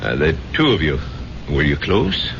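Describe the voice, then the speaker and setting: Lilting barkeeper, genial, old radio. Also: male voice, a bass voice, huge pitch swings, normal speed, creaky, exaggerated voice. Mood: concerned. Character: genial philosophical Irish barkeeper, solicitous and gentle. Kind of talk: 1970s radio drama acting. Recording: dull, noisy old radio broadcast.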